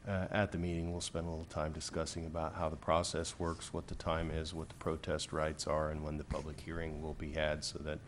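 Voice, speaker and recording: A man on city staff calmly explaining the next steps of a process at a council meeting, steady and measured. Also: a bass voice, a little hiss or hum.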